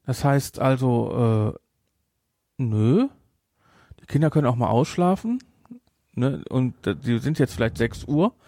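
A man's voice: mid-range voice, animated, takes it slowly, pauses often, warm tone, no echo. Casual male podcast co-host, close dry sound. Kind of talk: casual chat reviewing a film.

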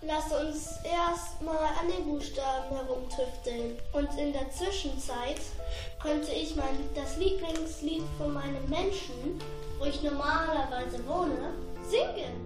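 Woman playing a high-voiced little earworm, echoey. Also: slow, far from the mic. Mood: unsure, musing. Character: lost little earworm, sick, hesitant but chatty storyteller. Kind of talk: children's radio play acting. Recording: roomy echo, off the mic, music behind.

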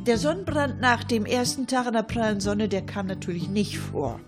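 A woman acts out an older lady with a raised, fairly high voice. She rambles colloquially through a comic radio monologue, easily indignant and forever breaking off to address her listeners. There is clear music in the recording. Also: animated, moderate pace, close to an everyday voice. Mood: animated, teasing.

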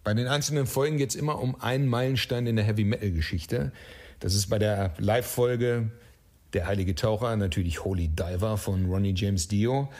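A man with a slightly husky voice giving explanatory answers as an interview guest. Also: fairly low pitch, normal speed, a little mellow. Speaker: interview guest, audio drama writer.

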